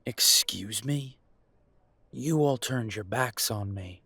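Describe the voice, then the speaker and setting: A man acts out an estranged werewolf son in an audio roleplay. His voice is mid-pitched and recorded very dry. He sounds guarded, hurt and weary, with biting sarcasm. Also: slow, sharp tone, slightly rough, dry recording, full character voice. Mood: sarcastic, defiant. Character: bitter, wounded adult werewolf son confronting his parents. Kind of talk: audio roleplay drama acting.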